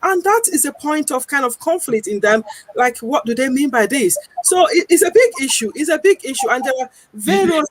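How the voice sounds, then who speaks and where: A woman with a Nigerian accent, speaking earnestly as a guest on a panel discussion, pausing often with ums. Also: high-pitched, lively intonation, no echo, poor audio. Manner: earnest, deliberate, frequent pauses with um.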